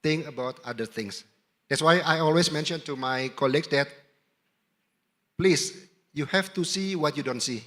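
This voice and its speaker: A man's voice: fairly high pitch, normal speed, long pauses, a bit bright. A middle-aged man with an Indonesian accent, speaking as a diplomat to a summit audience and repeating his key points for emphasis. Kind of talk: anecdotal talk with audience Q&A.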